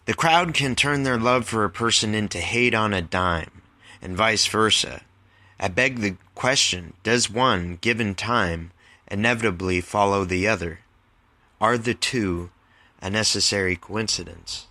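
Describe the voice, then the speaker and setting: Slow-talking man with a bright, forceful voice. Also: fairly low pitch, no room sound. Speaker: writer reading and explaining own work on podcast. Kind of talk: literary reading mixed with casual explanation.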